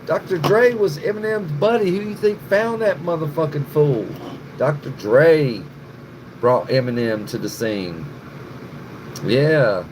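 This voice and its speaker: A man's voice: fairly high pitch, lively intonation, measured pace. Profane rambling middle-aged man livestreaming. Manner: profane, rambling, addresses chat by name. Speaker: livestream host. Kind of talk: casual livestream chat with viewers. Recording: noticeable background noise, air conditioning hum.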